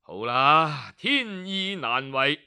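A man voicing an old male hermit sage who gives slow, grave counsel with heavy sighs. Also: on the high side, sing-song, pushed and bright, clean tone, pitched below the performer's own voice, full character voice. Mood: grave, sorrowful.

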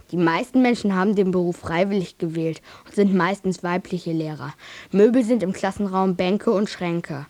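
A woman's voice: on the low side, a little mellow, no echo, close to an everyday voice. A female performer playing a cheeky, blunt schoolchild in a radio drama, matter-of-fact, precocious and taunting. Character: cheeky, blunt schoolchild who needles adults.

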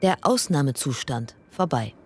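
A woman's voice: a bass voice, animated, normal speed, a little hiss or hum. A woman playing a firm, challenging chorus voice in a poetic radio play, speaking tersely. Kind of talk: poetic radio play, clipped choral exchange.

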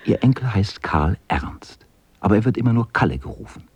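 A man narrating a children's radio play as a calm storyteller with a husky voice. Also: on the low side, fairly quick, dry recording. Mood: storytelling.

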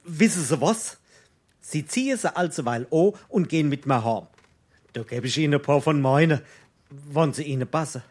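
A man's voice: a little high, animated, moderate pace, darkish tone. An actor playing a good-natured, naive young craftsman in Darmstadt dialect, plain and amiable, in a stage comedy. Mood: helpful. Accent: Hessian, Darmstadt dialect.

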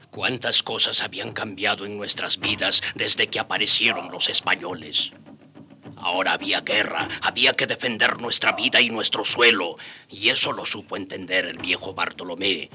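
An actor playing a sober, reflective storyteller who narrates a radio drama in a measured manner. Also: male voice, on the low side, sharp tone, a little hiss or hum.

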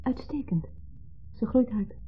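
An actress in a 1970s radio play voicing a composed, evasive wife with a Netherlands Dutch accent, who speaks in a measured, matter-of-fact way. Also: female voice, on the high side, animated, husky, exaggerated voice. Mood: restrained. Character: composed, evasive woman between husband and former lover. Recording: muffled, band-limited 1970s radio recording.

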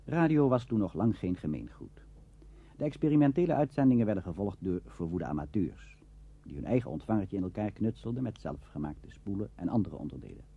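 A man narrating a documentary in a formal, measured storytelling manner. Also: lowish voice, sing-song, vocal fry, breathy edge. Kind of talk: scripted narration. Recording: dull lo-fi archive tape.

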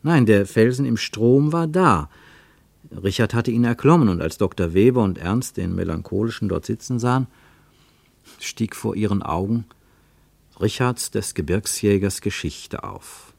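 A male narrator reads a literary travel story in a measured, storytelling way. His voice sits fairly low and sounds dry and close to the mic. It suits a vintage studio radio play. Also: lively intonation, vocal fry, a little mellow, no room sound. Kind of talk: scripted narration in a radio drama.

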